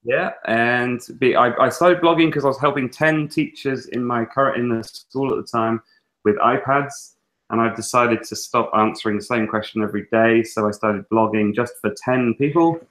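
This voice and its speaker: A man's voice: mid-range voice, moderate pace, a bit bright, poor audio. English man chatting on a video call. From England. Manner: chatty, lots of fillers and restarts. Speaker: guest teacher and author. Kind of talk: casual webinar interview. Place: small room at home. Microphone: earphone headset on a video call.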